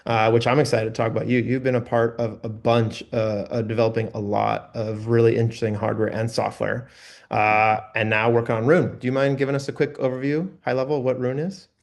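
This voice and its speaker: A man's voice: medium pitch, little pitch movement, fairly quick, gravelly. Upbeat man hosting a podcast. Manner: upbeat, chatty interviewer. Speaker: podcast host. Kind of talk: casual podcast interview.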